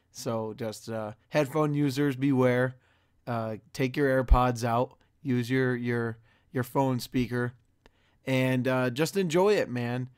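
A hoarse young man talking straight to his viewers in a casual, excited vlog intro, loose and full of fillers. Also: medium pitch. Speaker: wrestling fan vlogger. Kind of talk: casual direct-to-camera intro.

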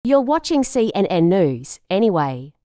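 Female newsreader, scripted, warm tone, dry sound. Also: medium pitch, very animated, moderate pace, no room sound. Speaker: news anchor. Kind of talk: scripted news bulletin.

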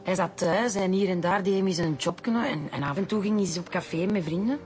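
An actress playing a distraught, loyal wife in a radio drama, agitated and insistent, with a Flemish accent. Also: female voice, lowish voice, a little fast, darkish tone, lightly acted. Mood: defensive.

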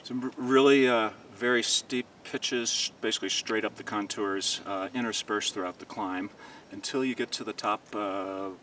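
A middle-aged hiker interviewed on a mountain trail, describing the route in halting detail with many fillers. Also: male voice, on the low side, fairly even intonation, a bit bright, recorded from a distance, a little hiss or hum. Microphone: camera mic from a short distance.

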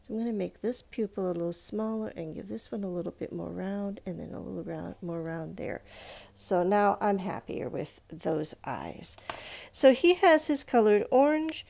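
A woman teaches drawing step by step in an informal way. Her voice has noticeable fry and sits at an ordinary pitch for a woman. She thinks aloud as she demonstrates and encourages the learner along the way. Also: lively intonation, moderate pace, a little mellow.

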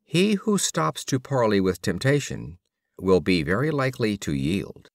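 Male audiobook narrator for classic fables: lowish register, very animated melody, deliberate storytelling phrasing. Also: no room sound, clean studio sound. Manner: expressive, deliberate storytelling delivery. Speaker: audiobook reader.

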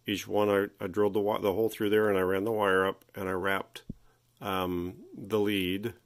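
A man giving a casual workshop walkthrough, halting and folksy as he thinks aloud, recorded indoors on a handheld device. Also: sits low. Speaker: hobbyist electronics restorer presenting his repair.

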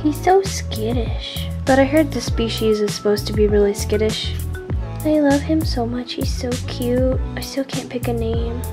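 A woman's voice: a little high, normal speed, over music. A young woman from Texas narrating her vlog about her pet, gushing and affectionate. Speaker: vlogger. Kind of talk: casual vlog voice-over.

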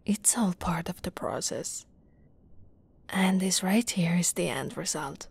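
A woman playing an affectionate, teasing lamia girlfriend in an ASMR roleplay, soft and cooing, often slipping into whispers. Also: fairly low pitch, slow pace, creaky, slightly rough, exaggerated voice. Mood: doting, teasing.

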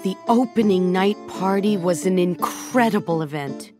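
A middle-aged woman narrating a children's storybook in a gentle, measured way, softening her voice at tender moments. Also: on the low side. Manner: gentle, measured storytelling with soft dips in volume. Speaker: audiobook narrator. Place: recording studio. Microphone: close studio microphone. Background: background music.

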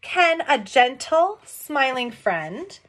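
A middle-aged woman reading a picture book aloud to young children, expressive and questioning, explaining things simply as she goes. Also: sits high, takes it slowly. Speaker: read-along storyteller for young children. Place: small room at home.

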